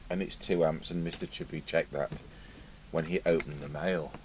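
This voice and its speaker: A middle-aged British man giving an informal, unscripted hands-on demonstration, musing aloud with hesitant pauses. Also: rumbling low, fairly even intonation, a little mellow. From United Kingdom. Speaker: radio repair technician. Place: indoor radio repair workbench. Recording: clearly noisy home workshop recording.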